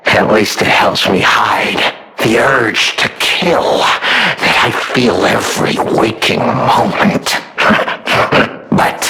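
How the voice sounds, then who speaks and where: An actor playing a manic, mocking, murderous puppet in a video game, with theatrical delivery that is gleefully unhinged. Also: male voice, mid-range voice, creaky, slight background noise, full character voice. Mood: unhinged, insistent. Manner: theatrical, gleefully unhinged, swinging between hushed and shouted. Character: manic, mocking, murderous male puppet, self-styled king of riddles.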